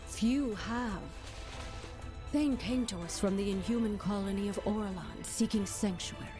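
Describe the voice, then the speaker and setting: A woman voices a composed, regal lady in a game cutscene, speaking in a measured and formal way at a middle pitch. A score plays beneath her. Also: over music, close to an everyday voice. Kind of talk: video game voice acting.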